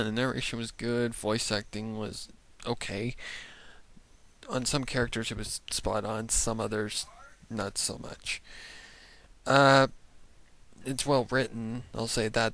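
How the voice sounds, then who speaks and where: A reaction show host giving casual commentary in a halting, exclamatory way, with muttered asides. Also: mid-range voice, a bit bright, dry recording. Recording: faint music behind the voice.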